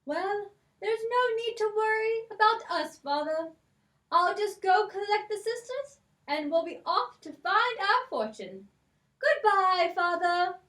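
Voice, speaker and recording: A woman's voice: very high voice, expressive, slow, a bit bright, full character voice. A woman voicing a brave, earnest young girl in a children's puppet show. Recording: echoey room, distant from the mic.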